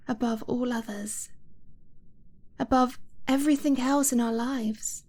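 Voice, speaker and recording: A woman playing an affectionate, anxious dragon-blooded girlfriend in an intimate ASMR roleplay, speaking softly and breathily with hesitant, earnest pauses and frequent near-whispers. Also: fairly high pitch. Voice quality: soft, breathy, often dropping to a whisper.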